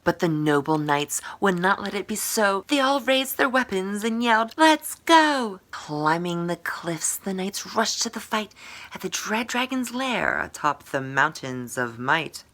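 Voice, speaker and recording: A woman's voice: mid-range voice, expressive, a little slow, a bit bright. A woman author presenting and reading a storybook aloud in a theatrical, rhythmic way. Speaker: author promoting and reading own book. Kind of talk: storybook read-aloud and promotional talk.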